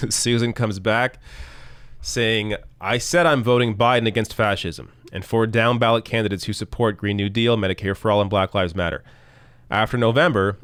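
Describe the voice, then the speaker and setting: Blunt male political commentator, low voice. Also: a bit bright, clear, resonant tone, no room sound. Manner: blunt, argumentative, reads comments and tweets aloud. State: losing his voice. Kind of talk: live-stream political commentary.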